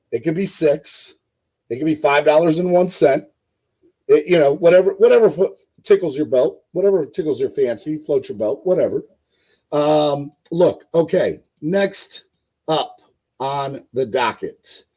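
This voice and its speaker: A man with a hoarse voice hosting a casual live-stream sports show, rambling with many fillers and talking to his chat viewers. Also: a little high, unhurried, a little mellow, poor audio. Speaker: host.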